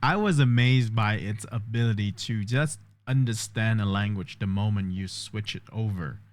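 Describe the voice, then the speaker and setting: A man's voice: on the low side, normal speed. A man vlogging a test of an AI voice assistant, explaining step by step and thinking aloud. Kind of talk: casual tech demo commentary.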